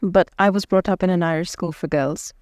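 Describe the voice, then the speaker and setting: A woman from Portugal, a native Portuguese speaker, a guest anesthesiologist talking in a lively, animated way. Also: on the low side, fairly quick, no echo, clean studio sound. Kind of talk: conference podcast interview.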